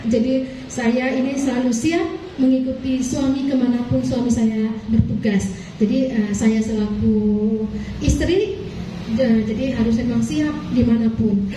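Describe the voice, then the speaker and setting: A woman with a high, mellow voice gives a formal address at a training session for community leaders, hesitating often and apologizing politely. She is heard from a distance in a very echoey meeting hall with faint noise behind her. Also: fairly even intonation, measured pace. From Aceh.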